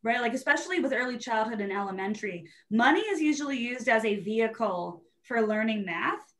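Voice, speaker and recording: Woman, twenties, fairly high voice, animated conversational educator, casual video call. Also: a little fast. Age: 20s. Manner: animated, conversational, often checking in with "right?". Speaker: guest presenter, STEM educator. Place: small room at home, indoors. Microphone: webcam video call.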